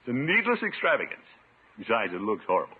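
Blustery pompous middle-aged uncle, swoopy husky voice, old radio comedy. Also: male voice, mid-range voice, wildly swooping pitch, fast, heavily acted. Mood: preachy. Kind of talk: 1950s radio sitcom acting. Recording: muffled 1950s radio broadcast.

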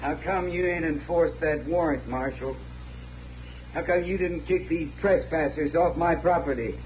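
An actor playing an angry, bullying American rancher in a radio western, blustering and accusing in frontier talk. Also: male voice, fairly high pitch, a little slow, natural voice. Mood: indignant. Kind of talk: 1950s radio western acting. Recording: muffled, hissy old radio recording.

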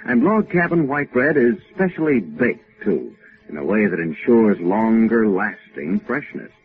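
A man's voice: on the low side, sing-song, measured pace. A man reading a scripted 1950s radio commercial as announcer, polished and emphatic. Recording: narrow-band old 1950s radio, faint music.

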